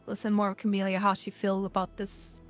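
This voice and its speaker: A woman streamer chatting casually, rambling and gushing with lots of fillers, her voice getting worn from yelling. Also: medium pitch, completely flat delivery, a little fast, soft background music.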